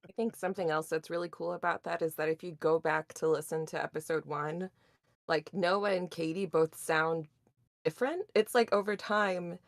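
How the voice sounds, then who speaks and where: A woman's voice: fairly low pitch, fairly even intonation, moderate pace, no echo, studio quality. A woman in a casual discussion, talking informally and appreciatively.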